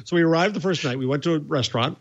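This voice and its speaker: A man's voice: a little high, rapid-fire, lo-fi sound. A man co-hosting a talk show, telling a story casually with a wry, dry humor. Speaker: co-host.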